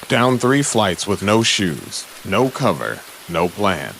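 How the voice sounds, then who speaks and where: A man's voice: sits low, big pitch swings, slow pace, gravelly, a bit bright, slight background noise, rough recording. A man narrating an audio drama in a suspenseful, dramatic scripted style, with short punchy phrases.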